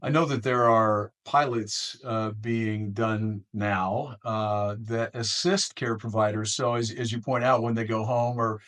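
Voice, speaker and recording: An American man hosting an interview in a conversational, careful way, with deliberate and somewhat halting phrasing. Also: fairly low pitch, steady tone, fairly bright tone. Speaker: interview host.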